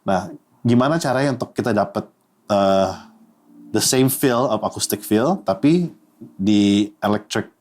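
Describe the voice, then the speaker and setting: A man, co-hosting a casual chat, often pausing on fillers and mixing in English terms. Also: on the low side, darkish tone. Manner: hesitant, many fillers, mixes English terms.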